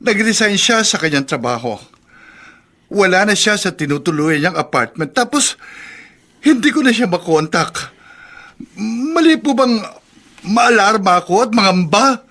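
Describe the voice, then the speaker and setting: A man's voice: high-pitched, expressive, unhurried, pauses often, bright, forceful tone, heavily acted. An actor playing an agitated young man whose girlfriend has left him, in a radio drama. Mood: distraught. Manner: agitated, complaining.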